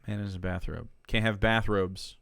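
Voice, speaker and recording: A man's voice: lowish voice, steady tone, normal speed, vocal fry, fairly bright tone, clean tone, no echo, studio quality. A man who streams political commentary, reacting to clips in a casual, sarcastic and profane way, laughing between remarks.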